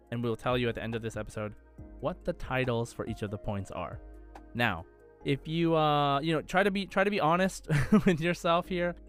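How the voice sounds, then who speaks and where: A man's voice: medium pitch, a bit bright. A man hosting a podcast quiz in a casual, chatty style. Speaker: podcast host and quizmaster. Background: faint background music.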